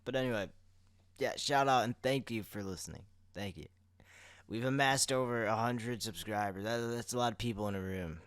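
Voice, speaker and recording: A man's voice: lowish voice, moderate pace, a bit bright, clean tone, no room sound. A man talking casually as co-host of a podcast, addressing listeners in everyday conversation. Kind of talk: casual chat.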